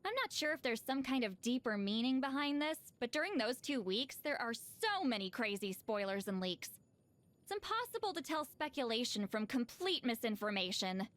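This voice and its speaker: A youthful, high-voiced shaman woman from a video game. Her delivery is very animated, swinging up and down in pitch. She sounds proud yet socially awkward, with a hesitant, slightly huffy way of explaining herself. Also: normal speed. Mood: musing. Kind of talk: animated game character voice lines.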